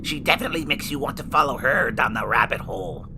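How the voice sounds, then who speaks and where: A man's voice: mid-range voice, animated, quick pace, background noise, close to an everyday voice. An actor playing a snarky male horror host with a shriveled, burnt face, joking his way through a scripted review. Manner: jokey, theatrical, sarcastic. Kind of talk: scripted comedic review banter. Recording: music bed underneath.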